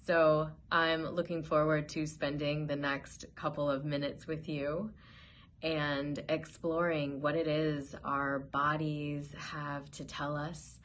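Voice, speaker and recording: A woman hosting a guided meditation, giving gentle, soothing, unhurried instructions as she introduces the session. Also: sits low, flat delivery, slight background noise.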